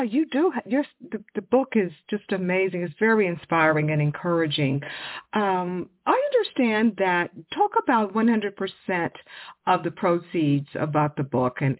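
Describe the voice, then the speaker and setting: A woman's voice: lowish voice, lively intonation, moderate pace, no echo. A woman from Texas hosting a conversational radio interview in an effusive, emphatic way. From Houston area, Texas, USA. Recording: muffled, narrow-band radio audio.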